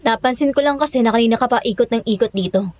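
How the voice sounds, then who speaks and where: A woman's voice: fairly high pitch, very fast, a little mellow, no room sound, lightly acted. An actress playing a wary, questioning female cook in need of work, in a horror audio drama. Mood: wary, curious.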